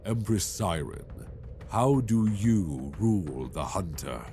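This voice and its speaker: A man's voice: deep voice, expressive, slow, dark tone, faint music behind. An actor playing a stern male dragon-kin lord in a fantasy audio drama, grave, formal and imperious. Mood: stern, judging.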